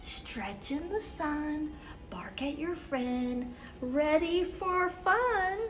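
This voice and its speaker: A woman hosting a children's story time, reading picture books aloud expressively with measured, deliberate phrasing for young listeners. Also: high-pitched, animated, fairly bright tone, slight background noise.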